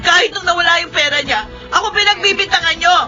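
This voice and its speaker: An anguished young granddaughter, defiant yet fragile. Her voice is very high and bright, with emotional radio-drama delivery. The recording is slightly dull, with music playing beneath. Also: fast talker, exaggerated voice. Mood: tearful, angry. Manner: emotional, pleading, tearful delivery. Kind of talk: Filipino radio drama acting. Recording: dull lo-fi broadcast, music underneath.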